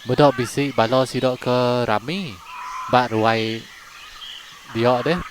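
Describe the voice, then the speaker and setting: A man's voice: medium pitch, steady tone, slow pace, noisy background. A man telling a long folk story in a conversational way as a channel storyteller, then asking listeners to like and subscribe. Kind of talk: told folk story in Iban.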